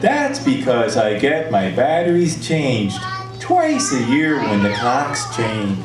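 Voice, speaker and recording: A performer voicing a small, excitable talking smoke detector puppet with cartoonish delivery in a live children's show. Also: medium pitch, slow pace. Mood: perky. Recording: echoey, distant live show with music.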